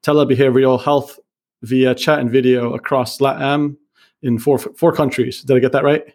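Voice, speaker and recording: A man hosting an interview podcast, reading a measured intro and then asking questions in a friendly, chatty way. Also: mid-range voice, fairly even intonation, a little mellow. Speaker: podcast host. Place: Kuala Lumpur.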